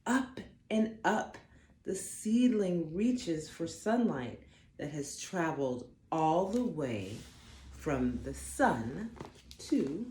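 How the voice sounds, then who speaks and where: A woman reading a children's picture book aloud in an expressive, deliberate way, phrasing carefully for young listeners. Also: sits low. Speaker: storybook reader for children. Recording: roomy echo, faint background noise.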